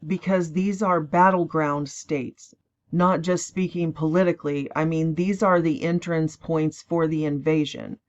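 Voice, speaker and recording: A tired woman rambling emphatically as she introduces a clip, breaking into quick mocking asides. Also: sits low, fairly even intonation. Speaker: online prophecy channel host. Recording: dull lo-fi recording.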